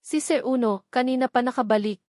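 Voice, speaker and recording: A woman's voice: on the high side, a little fast, dark tone, no room sound, clean studio sound, close to an everyday voice. A woman with a Filipino accent voicing a concerned, urgent female household helper in a novel reading. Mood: urgent, worried. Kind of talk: dramatized novel dialogue.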